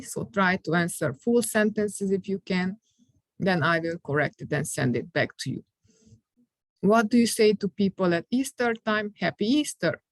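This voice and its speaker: Female ESL teacher, middle-aged, slow and patient, clear simple phrasing, close dry sound. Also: medium pitch, no echo. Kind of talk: classroom instruction recorded for students.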